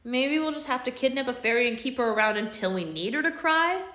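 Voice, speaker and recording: A woman voicing a sarcastic, scheming young boy, pitching her voice lower. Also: expressive, a little fast, bright, forceful tone, echoey room.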